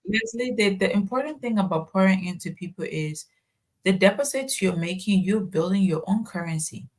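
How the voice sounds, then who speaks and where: A woman's voice: medium pitch, moderate pace, darkish tone, rough recording. A woman from Ghana, a podcast guest, talking in a reflective way and telling personal stories. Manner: reflective, tells personal anecdotes. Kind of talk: conversational storytelling.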